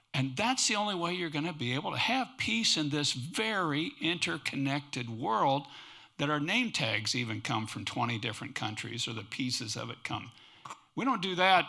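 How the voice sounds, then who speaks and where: Man, panelist, higher bright voice, emphatic and conversational. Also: gravelly. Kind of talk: panel discussion. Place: conference panel before an audience.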